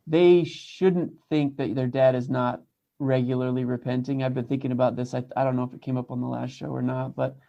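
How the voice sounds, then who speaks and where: Man, warm dark tone, reflective, measured, conversational advice, slightly lo-fi recording. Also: mid-range voice, level tone, creaky. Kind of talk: casual, sincere advice-giving. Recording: slightly dull, lo-fi audio.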